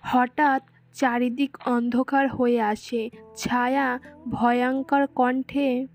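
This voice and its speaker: A woman narrates a scripted fairy tale. Her voice is high and her delivery slow and measured, with music clearly playing underneath. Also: flat delivery.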